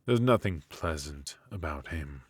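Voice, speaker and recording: A man playing a bitter, haughty royal mage in an intimate fantasy ASMR roleplay, speaking in a measured, aristocratic, dryly mocking way. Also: a bass voice, lively intonation, breathy edge, no room sound, full character voice. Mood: wry, guarded. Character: bitter, sardonic, haughty royal mage passed over for succession. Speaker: amateur voice actor.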